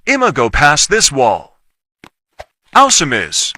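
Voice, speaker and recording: A man's voice: on the high side, huge pitch swings, slow pace, pushed and bright, a little hiss or hum. A man giving casual gameplay commentary in short, repetitive declarations. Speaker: gaming video creator.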